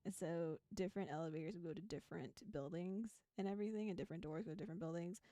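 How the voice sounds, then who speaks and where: A young adult woman streaming in casual chat, with a quiet but clear voice, laughing often between her sentences. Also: fairly low pitch, racing, dry recording. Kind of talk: casual chat and reading a visual novel aloud.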